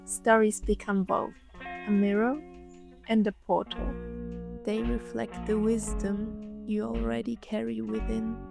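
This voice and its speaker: A woman narrating a scripted guided meditation, gently soothing, speaking in short phrases with long pauses. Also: a little high, level tone, creaky, music in the background.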